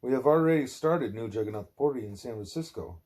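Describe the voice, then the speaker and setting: A man with a measured, plain-spoken way of talking, reading aloud or speaking as a parent at home. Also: mid-range voice, lively intonation, a little mellow. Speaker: reader, parent putting a child to bed. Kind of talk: reading a religious text aloud, with quiet parental chat.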